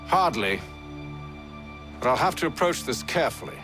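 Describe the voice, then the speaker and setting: An actor playing a composed, dry-witted gentleman adventurer in a dramatic video game. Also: on the high side, big pitch swings, moderate pace, faint music behind.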